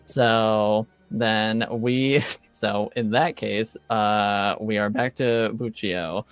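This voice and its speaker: Slow-talking laid-back male game master. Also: on the low side, steady tone, clean tone, dry recording, faint music behind. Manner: laid-back, lots of fillers and laughs, swears casually. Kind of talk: running a tabletop game, casual chat.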